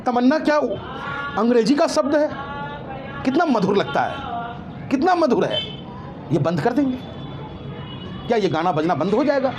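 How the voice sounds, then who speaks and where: A man's voice: very high-pitched, big pitch swings, moderate pace, pauses often, over music. A man giving impassioned opinions as an interview guest, emphatic and indignant, full of rhetorical questions.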